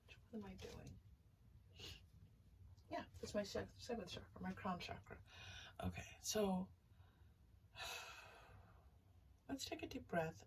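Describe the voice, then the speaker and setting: A woman hosting a casual tarot reading, speaking to listeners directly in a soothing, reassuring way and haltingly thinking aloud. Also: mid-range voice, a little mellow. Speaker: tarot reader and channel host. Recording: distant mic, faint background noise.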